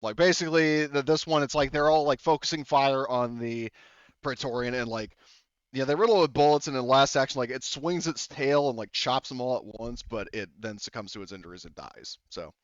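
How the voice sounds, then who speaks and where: A man's voice: medium pitch, fairly quick, clear, resonant tone, no room sound. A man running a tabletop game as game master, rambling loosely and laughing often. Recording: dull, lo-fi mic sound.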